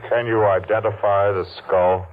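An actor playing a menacing, taunting masked avenger who speaks deliberately and accusingly, in 1940s radio mystery style. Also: male voice, fairly low pitch, fairly even intonation, lightly acted. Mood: taunting, mocking. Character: menacing masked avenger, a betrayed man taunting his betrayer. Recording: muffled, noisy old radio recording.

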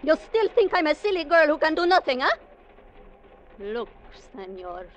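A woman's voice: sits high, sing-song, normal speed. An actress playing a proud, armed young Latin American woman with a Spanish accent, defiant and commanding, in a 1940s radio drama. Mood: determined, threatening. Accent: Spanish-accented English, Latin American. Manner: defiant, commanding, clipped speech. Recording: muffled, noisy vintage radio recording.

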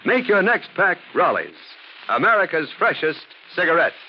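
A man working as a radio announcer, delivering scripted introductions and sponsor pitches in 1940s style. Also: sing-song, normal speed. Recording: muffled, slightly noisy old radio recording.

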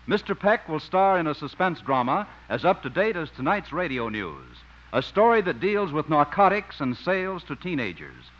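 A man's voice: on the high side, very animated, normal speed, few pauses. A man reading scripted narration as a 1950s radio announcer, formal and polished. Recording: dull old radio recording with music.

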